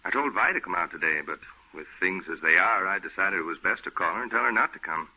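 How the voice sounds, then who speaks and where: Deep-voiced skeptical friend. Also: male voice, expressive, quick pace, slightly rough. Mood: dismissive, weary. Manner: conversational, matter-of-fact. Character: skeptical, down-to-earth male friend, exasperated. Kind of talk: old-time radio drama acting. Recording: tinny, hissy old radio recording.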